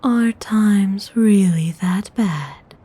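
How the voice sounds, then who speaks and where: Hushed, breathy vampire noblewoman, acted by a woman. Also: mid-range voice. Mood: cool, composed. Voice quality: soft, breathy, often whispered. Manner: formal, unhurried, aloof. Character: refined, world-weary vampire noblewoman seeking a meal. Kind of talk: ASMR roleplay acting.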